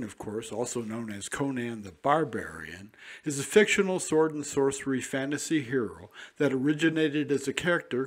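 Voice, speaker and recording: A man hosting a classic radio show in a relaxed, informal way. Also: medium pitch, breathy edge. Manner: relaxed and informal, with small fillers. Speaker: podcast host.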